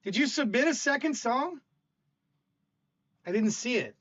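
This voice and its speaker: Loud older man hosting a stream. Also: very high voice, lively intonation, unhurried, lo-fi sound. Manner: rambling, stammering, warm toward chat. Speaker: stream host. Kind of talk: casual livestream chat.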